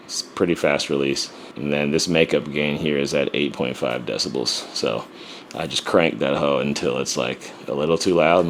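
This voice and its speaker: A man's voice: very deep voice, slight background noise. A man who raps and produces, casually walking through his mixing steps with a profane, joking swagger. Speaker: rapper and music producer. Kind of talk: casual music production walkthrough.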